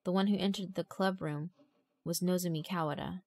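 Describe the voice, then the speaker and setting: A woman's voice: lowish voice, fairly even intonation, brisk, a little mellow, no room sound. A woman narrator reading a scripted story in a dramatic storytelling style. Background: background music.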